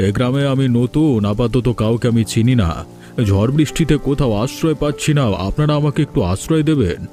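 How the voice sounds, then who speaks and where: A man's voice: medium pitch, fairly quick, mellow, soft background music, lightly acted. A man voicing a polite, humble stranger seeking shelter in a Bengali cartoon. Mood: pleading.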